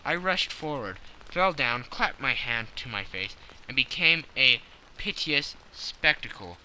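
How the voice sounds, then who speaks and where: A man voicing a confident, analytical gentleman detective who explains things precisely and with self-satisfaction in an audiobook. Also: mid-range voice, expressive, unhurried, a little hiss or hum, low-quality recording. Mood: self-satisfied, explaining. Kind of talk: audiobook character acting.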